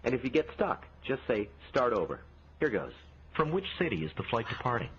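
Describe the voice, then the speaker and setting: A man's voice: medium pitch, sing-song, brisk, breathy edge. A man voicing a friendly, patient automated airline phone agent in conversational scripted prompts. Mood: patient, guiding. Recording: dull lo-fi phone demo, noisy.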